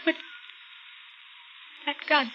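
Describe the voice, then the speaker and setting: An actress playing a frightened young woman trapped by a killer in a 1950s radio melodrama, halting and anxious. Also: very noisy. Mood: fearful. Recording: muffled old radio recording with music.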